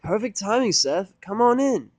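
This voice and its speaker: A man's voice: high voice, big pitch swings, normal speed, a little mellow, no room sound, pitched above the performer's own voice. A man voicing a laid-back, friendly female DJ cartoon pony with a casual, slangy way of talking. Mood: upbeat, friendly.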